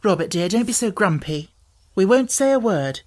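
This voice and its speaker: A woman's voice: mid-range voice, lively intonation, normal speed, darkish tone, pitched above the performer's own voice, close to an everyday voice. A woman voicing a polite, eager young girl in a storybook, in a well-mannered way. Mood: gentle.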